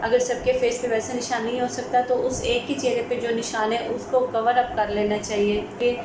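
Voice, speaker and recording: A woman with a Pakistani accent explains law in an informal lecture style, dropping in English terms. Her voice sits fairly high. The recording is very echoey, with clear background noise. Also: level tone, normal speed. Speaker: advocate teaching law.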